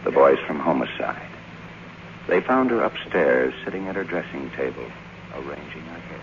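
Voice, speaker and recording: A man's voice: very deep voice, expressive, normal speed. An actor playing a cynical, world-weary screenwriter in a 1950s radio drama, sardonic and blunt, narrating his own downfall. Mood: wry, weary narration. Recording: muffled, noisy 1951 radio broadcast.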